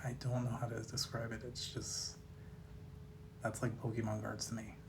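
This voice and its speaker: A man with a slightly raspy voice chats casually to viewers as a host, musing in a laid-back way and trailing off mid-thought. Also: medium pitch, monotone, normal speed, noisy background. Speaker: host of a card collecting video series. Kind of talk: casual rambling commentary to viewers.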